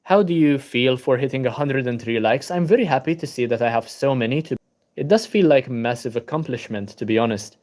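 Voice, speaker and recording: A man's voice: mid-range voice, fairly quick. Tired young man chatting. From Malta. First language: Maltese. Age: young adult. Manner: relaxed, many ums, greets viewers by name. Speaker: book channel livestreamer. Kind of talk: casual livestream chat, reading viewer comments and answering. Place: at home at a laptop.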